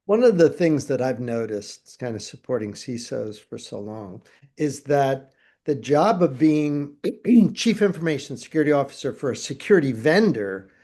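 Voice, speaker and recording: A man's voice: mid-range voice, lively intonation, normal speed, dark tone. A man hosting an interview, asking long, rambling questions in a friendly conversational way. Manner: chatty, rambling questions with restarts. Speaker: interviewer, host.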